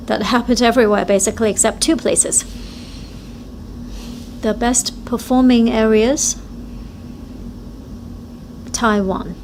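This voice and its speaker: Female simultaneous interpreter, slow and measured, speaking in short chunks. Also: on the high side, a little mellow, slight background noise, rough recording. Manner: halting, short phrase-by-phrase delivery. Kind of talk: live interpretation of speeches.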